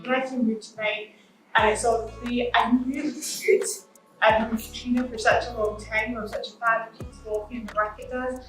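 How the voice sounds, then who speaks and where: An earnest woman with a fairly high voice speaks at a panel event. She leans on repeated phrases, heard from a distance in a very echoey hall with faint music. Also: flat delivery, moderate pace, fairly bright tone. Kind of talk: audience response at a panel Q&A.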